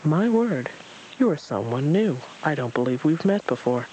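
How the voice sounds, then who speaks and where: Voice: medium pitch, sing-song, normal speed, warm tone, slight background noise, lightly acted, rough recording. A reader voicing a fussy, pompous old male owl who hoots and loses patience. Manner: pompous, hooting and impatient.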